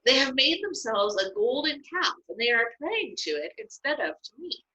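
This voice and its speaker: Woman reading God, stern and angry, slow firm delivery, bright tone. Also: high voice, very animated, muffled recording, voice pushed up, exaggerated voice.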